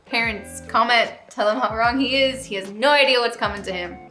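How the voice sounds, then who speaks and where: A woman's voice: fairly high pitch, a little fast, bright, forceful tone. A woman co-hosting a book vlog, chatting casually and addressing viewers in a conversational way. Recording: roomy echo, faint music behind.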